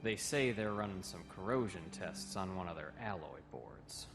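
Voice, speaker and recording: A man's voice: deep voice, expressive, moderate pace, creaky. An actor playing a wary young male cadet who explains things in an audio comic drama. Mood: guarded. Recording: roomy echo, music behind.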